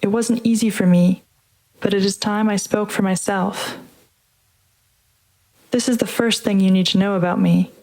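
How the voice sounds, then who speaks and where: A woman giving a measured, earnest scripted reading as narrator of a community story project. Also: mid-range voice, steady tone, darkish tone, poor audio. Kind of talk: scripted reading over music. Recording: music playing under the voice.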